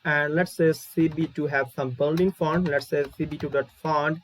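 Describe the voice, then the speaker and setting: A man's voice: on the high side, steady tone, moderate pace. A man with a Bangladeshi accent giving an informal coding tutorial, thinking aloud step by step and repeating his phrases. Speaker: programming tutorial instructor. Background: keyboard typing.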